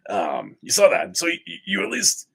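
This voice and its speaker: A man hosting a casual panel discussion, talking in a halting, sardonic way. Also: fairly high pitch, wildly swooping pitch, vocal fry, a bit bright, husky. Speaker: host of a panel talk show.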